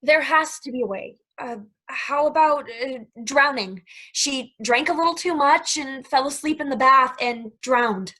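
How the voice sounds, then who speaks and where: Woman acting as bright, high-voiced scheming young woman. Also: a little slow, few pauses. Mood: conspiratorial, tense. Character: scheming young woman plotting murder, eager, slyly bubbly. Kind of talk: table reading of a stage play.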